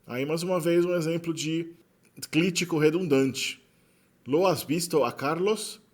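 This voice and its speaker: A man with a Brazilian Portuguese accent giving an explanatory linguistics lecture in a relaxed way, often adding tag questions and correcting himself. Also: fairly high pitch, measured pace.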